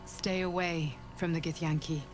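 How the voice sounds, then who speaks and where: A woman's voice: fairly low pitch, level tone, normal speed, music in the background, lightly acted, poor audio. A woman acting a mysterious, urgent figure giving warnings in a video game. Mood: grave, urgent.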